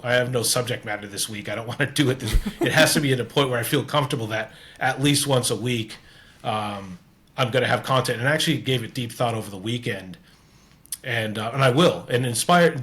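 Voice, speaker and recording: A man's voice: mid-range voice, quick pace, fairly bright tone. A man with a Canadian accent hosting a casual interview, talking earnestly and rambling with many restarts. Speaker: podcast host.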